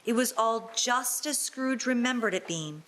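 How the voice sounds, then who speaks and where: A woman's voice: fairly high pitch, lively intonation, unhurried, a bit bright. A woman narrating a scripted story in a radio-play style.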